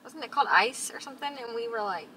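Young woman, friend on a vlog, swooping highish voice, clear car noise around her. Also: lively intonation, fast, recorded from a distance. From small town. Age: 20s. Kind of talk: casual chat with a friend. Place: inside a parked car at night. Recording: noisy car interior.

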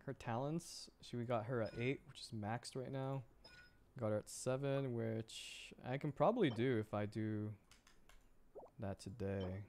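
A man's voice: on the low side, a little mellow, slight background noise. A man chatting casually on a game livestream, muttering and thinking aloud to himself as he plays. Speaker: VTuber game streamer. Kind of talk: casual livestream gameplay chat.

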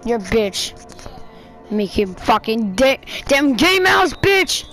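A woman's voice: fairly high pitch, very animated, slow, a bit bright, faint music behind. A woman giving casual let's play commentary in a brash, foul-mouthed, exasperated manner. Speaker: gamer commentating on own play.

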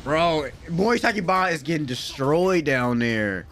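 A young American man giving excited, slangy, exclamatory live reaction commentary at a close desk mic in a room at home. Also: a little high, normal speed, slight background noise. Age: young adult. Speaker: reaction video host. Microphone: close desk microphone.

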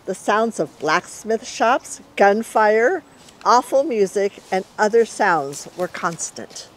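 Middle-aged woman, expressive storybook read-aloud. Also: mid-range voice, slow pace, poor audio. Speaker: author reading aloud.

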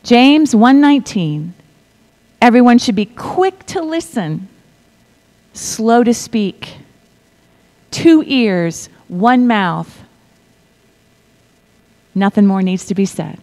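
A middle-aged woman preaching a sermon, reading scripture in a measured way and dropping to a whisper for effect. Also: fairly high pitch, animated. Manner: slow, deliberate delivery with pauses and soft whispered stretches; asks the congregation to repeat lines.

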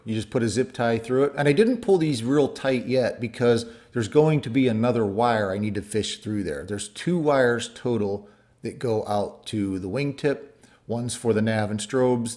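A man's voice: medium pitch, a little mellow. A middle-aged man narrating his kit airplane build in a home workshop, explaining each step plainly and practically.

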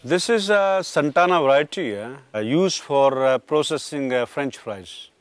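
A man with an Indian accent explaining things informally in an interview, halting and ending phrases with a tag. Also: medium pitch, expressive, no room sound. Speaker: agribusiness representative.

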